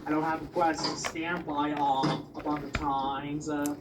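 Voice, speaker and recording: A man's voice: on the high side, completely flat delivery, unhurried, a loud crowd. A man bantering casually in a group voice chat over a noisy mic.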